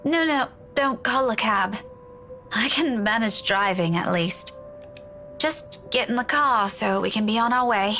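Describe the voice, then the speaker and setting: A weary young woman in a visual novel game. She speaks slowly in a fairly high voice, guarded and hesitant, over faint background ambience. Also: expressive, pushed and bright, a little hiss or hum, exaggerated voice. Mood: weary, dismissive.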